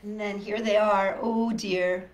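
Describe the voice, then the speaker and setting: A woman's voice: medium pitch, fairly even intonation, takes it slowly. A woman reading a picture book aloud as a storytime host, expressively, with sympathetic, coaxing asides to young viewers. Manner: sympathetic, coaxing, talks directly to children. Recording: echoey room, faint background noise.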